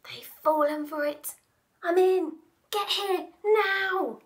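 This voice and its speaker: A woman's voice: extremely high pitch, takes it slowly, voice pushed up. A woman voicing an officious, crafty male mouse crime boss from a storybook, pompous and commanding.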